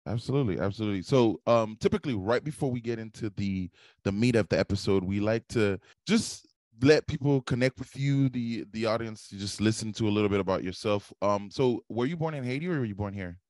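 A man's voice: fairly low pitch, brisk, darkish tone, no echo. A man hosting a podcast interview, explaining things at length in a long-winded way. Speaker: podcast host.

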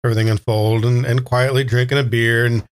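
A man's voice: mid-range voice, little pitch movement, a little fast, clear, resonant tone. A man casually improvising descriptive storytelling as game master of a tabletop role-playing game.

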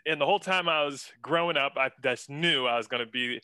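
Young male guest, bright and crisp, casual slangy chatter, enthusiastic. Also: medium pitch, brisk, no room sound. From western Pennsylvania. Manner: laid-back, slangy, enthusiastic, says man a lot. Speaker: guest, senior art director. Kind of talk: casual interview chat.

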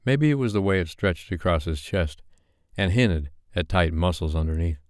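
A man narrates a romance audiobook in measured, deliberate phrases. His voice is very low and smooth, recorded dry and close, with every word crisp. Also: a little mellow, dry recording. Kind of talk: scripted romance novel narration.

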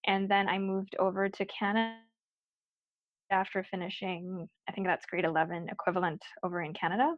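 A woman's voice: mid-range voice, little pitch movement, a little fast, no room sound. A young woman from Guyana, a guest on a podcast, talking earnestly with many fillers. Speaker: guest, recent PhD graduate. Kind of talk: casual podcast interview. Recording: dull, dry lo-fi sound.

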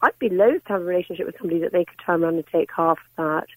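A middle-aged woman calling in to a radio show, with a slightly croaky voice, putting her opinion firmly. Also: on the low side, racing, no room sound, low-quality recording. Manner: firm, opinionated, talks in long runs. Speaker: caller. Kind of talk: radio phone-in call.

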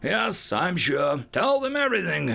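Man voicing a self-assured alien official, pitched high. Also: very animated, moderate pace, gravelly, a bit bright, soft background music, heavily acted. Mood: curt, firm. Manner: firm, dismissive. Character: self-assured alien senior observer, airy and curt. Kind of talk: science fiction audio story.

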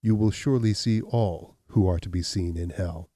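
A man voicing a stern, implacable accuser who speaks in a deep, level, measured way. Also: no echo. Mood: accusing. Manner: calm, measured, unyielding. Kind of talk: audiobook reading.

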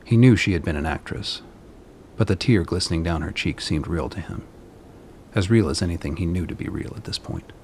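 A man narrating a dystopian radio play as a sombre, deliberate storyteller. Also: sits low, a little mellow, a little hiss or hum.

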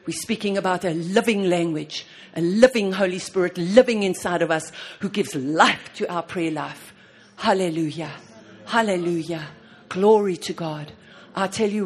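A woman preaching a sermon on prayer, fervent and full of repeated praise, swinging between soft and loud. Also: lowish voice, slightly rough.

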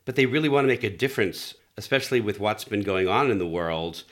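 A man's voice: fairly low pitch, animated, quick pace, fairly bright tone. Middle aged podcast host guy, polite and earnest, reading his intro. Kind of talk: scripted intro, then interview.

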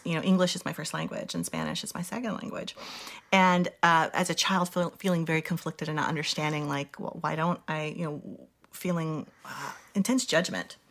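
A woman playwright in casual conversation, talking thoughtfully and pausing often with fillers as she searches for words. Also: low voice, gravelly, fairly bright tone.

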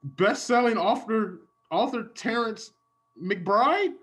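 A man's voice: sits high, expressive, slow, fairly bright tone, voice pushed up, heavily acted. A man playing a brash, incredulous police officer in improvised comedy.

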